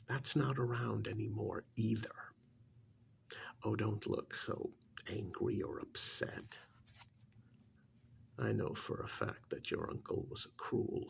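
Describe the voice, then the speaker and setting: A man voicing an ancient, contemptuous creature in a stolen human body, in a menacing, breathy, gravelly ASMR roleplay monologue. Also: on the low side, slight background noise, exaggerated voice. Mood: smug, taunting. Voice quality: gravelly, husky, breathy half-whisper. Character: ancient disdainful male creature possessing a dead man's body.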